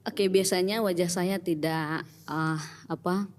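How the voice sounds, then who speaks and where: A middle-aged woman with a Batak Indonesian accent, a church pastoral worker speaking in a relaxed, reflective interview manner with many pauses and fillers. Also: fairly low pitch, in a large hall. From Batak, North Sumatra, Indonesia. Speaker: church pastoral team member, guest. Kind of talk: casual interview talk.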